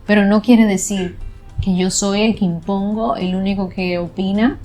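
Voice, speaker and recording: A woman's voice: medium pitch, fairly even intonation, normal speed, mellow, faint music behind. Emphatic woman educator explaining. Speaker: sex educator guest. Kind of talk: conversational panel talk.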